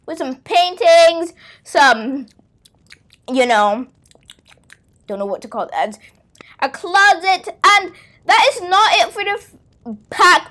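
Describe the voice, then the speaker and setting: A woman's voice: high-pitched, fairly bright tone. A young girl hosting a casual, playful game tour, chatting to viewers in an exclamatory way over background music. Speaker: gaming video host.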